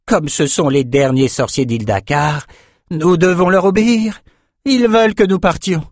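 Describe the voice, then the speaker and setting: A man's voice: on the high side, animated, moderate pace, no echo, studio quality, pitched above the performer's own voice, natural voice. A man voicing a proud, sardonic female warrior scout in a fantasy audiobook. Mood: disgruntled.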